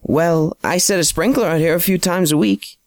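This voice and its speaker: A man's voice: fairly high pitch, normal speed, no room sound, studio quality, voice pushed up, lightly acted. A man voicing a flustered woman homeowner who talks hesitantly and apologetically. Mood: apologetic.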